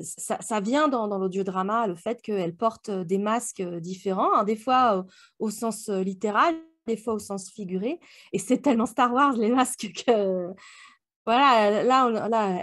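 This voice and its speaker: A woman's voice: medium pitch, dry recording, studio quality. A woman co-hosting a book club podcast, chatting casually and reflectively, often posing rhetorical questions. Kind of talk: casual book discussion chat.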